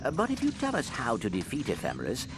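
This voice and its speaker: An actor playing a small, earnest robot companion in a video game, speaking in a formal, courteous and precise way. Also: male voice, medium pitch, huge pitch swings, fast. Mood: politely reasoning. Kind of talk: video game cutscene acting. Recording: loud music behind.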